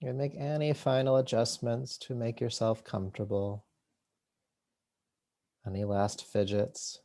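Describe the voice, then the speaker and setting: Slow, mellow man guiding meditation. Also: medium pitch, little pitch movement. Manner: soothing, unhurried, with long pauses between short phrases. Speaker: yoga nidra teacher. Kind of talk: guided meditation.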